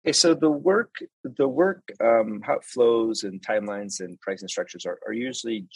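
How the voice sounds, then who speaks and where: A man's voice: mid-range voice, normal speed, a little mellow, breathy edge, dry recording. A man, a translator and interpreter, explaining his work step by step in a casual interview, with restarts and examples.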